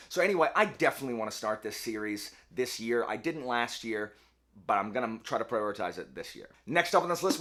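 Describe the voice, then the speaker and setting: A man hosting a casual book video, chatty and rambling, often correcting himself, his voice a little hoarse. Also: medium pitch, fairly bright tone. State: slightly hoarse. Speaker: book channel host.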